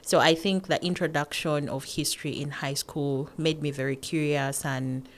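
Low-voiced woman from Uganda. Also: steady tone, normal speed. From northern Uganda. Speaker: podcast guest, academic. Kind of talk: conversational interview answers.

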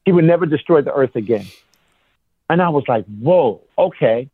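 A man's voice: medium pitch, lively intonation, normal speed, breathy edge, no room sound, narrow, tinny audio. A man in impassioned conversation, emphatic and rhetorical, leaning on repeated phrases.

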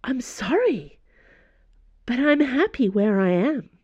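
A nervous but defiant young female pilot, voiced by a woman narrator for an audiobook. Her speech is earnest, halting and formally polite, with very lively intonation. The sound is a little dull. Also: on the high side, slightly rough, no room sound, voice pushed up, full character voice. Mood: faint, nervous. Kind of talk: audiobook character voice.

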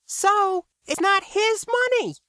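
A storybook narrator voicing a clever, excitable young woman who speaks eagerly and precisely. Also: extremely high pitch, slow, no room sound, studio quality, voice pushed up, exaggerated voice. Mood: pointed.

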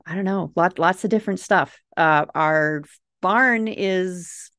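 A woman in her forties speaks with a clear Minnesota accent, chatty and easygoing, laughing between points. Her voice sits a bit low and sounds close and dry, with no room around it. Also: slow pace, a bit bright, no echo. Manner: chatty, laughing easily, with filler uhs. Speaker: guest. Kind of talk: casual interview chat.

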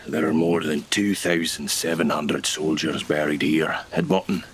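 An actor playing an elderly, gruff great-grandfather and retired railwayman in an audio drama, speaking in folksy, old-fashioned phrases. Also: extremely low pitch, fairly even intonation, moderate pace, slight background noise. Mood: mild, musing. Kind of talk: naturalistic audio drama acting.

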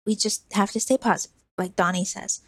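A woman's voice: medium pitch, steady tone, brisk, no echo, close to an everyday voice. A woman voicing an eager, trusting young man who talks in earnest, pleading bursts. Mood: frantic.

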